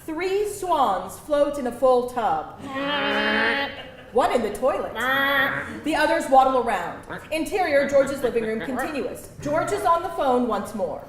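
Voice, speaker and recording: High, animated woman narrating a stage reading. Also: a little slow, a crowd in the background. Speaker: screenplay narrator. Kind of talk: reading stage directions at live table read. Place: live stage reading before an audience. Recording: echoey hall with loud audience.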